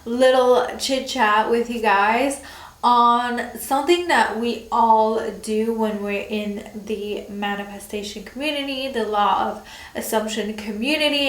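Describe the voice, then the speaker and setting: A woman's voice: a little high, echoey room. A young woman hosting her own channel, chatting casually with lots of fillers, her voice nasal and congested from a cold. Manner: chatty, many fillers, laughs between words. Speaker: channel host. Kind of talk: casual chat to camera.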